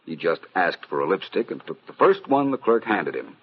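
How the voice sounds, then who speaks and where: An actor playing a suave, self-assured gentleman detective in an old-time radio mystery, urbane, precise and knowing. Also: deep voice, very animated, moderate pace, breathy edge, full character voice. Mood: wry, relaxed. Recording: dull, lo-fi vintage radio recording.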